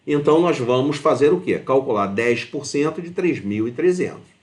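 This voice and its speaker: A man's voice: mid-range voice, expressive, normal speed. A man with a Brazilian accent giving an informal step-by-step math lesson as a teacher, often checking understanding with short tag questions. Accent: Brazilian Portuguese. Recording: roomy, echoing voice.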